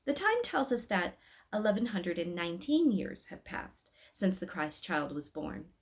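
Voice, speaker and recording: A woman voicing a gentle, learned male angel who instructs softly in a storybook read-aloud. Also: lowish voice, big pitch swings. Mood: informative. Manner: soft, measured, instructive.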